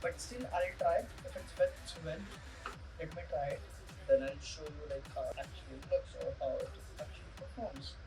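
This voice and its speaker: Young Indian-accented man, higher-pitched, slightly distant. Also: expressive, normal speed. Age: young adult. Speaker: product reviewer. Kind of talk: casual product demo talk. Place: small indoor room. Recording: distant mic, music underneath.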